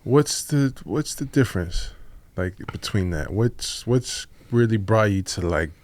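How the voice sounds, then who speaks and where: A man hosting a podcast, talking slowly and deliberately in casual chat. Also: on the low side, expressive, darkish tone, no room sound. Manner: slow, deliberate talker. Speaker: podcast host. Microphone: studio podcast mic, dry room.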